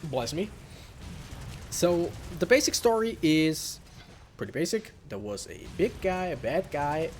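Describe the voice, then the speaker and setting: A man's voice: a little high, slight background noise. A man giving casual, rambling commentary as he plays through a video game, musing aloud. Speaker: video game let's player. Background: faint game music.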